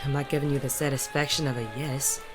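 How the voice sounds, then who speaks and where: An actor playing a gruff, wry, smitten male private detective in a noir audio drama, with teasing, plain-spoken tough-guy phrasing. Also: mid-range voice, level tone, fast, over music, close to an everyday voice. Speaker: narrator and lead character. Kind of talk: noir audio drama, first-person narration and banter.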